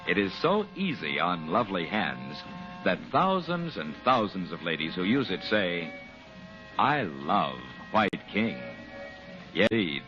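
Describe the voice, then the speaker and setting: A man announcing an old-time radio sponsor pitch in an emphatic, salesmanlike manner. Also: big pitch swings, unhurried. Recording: muffled old radio broadcast. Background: music.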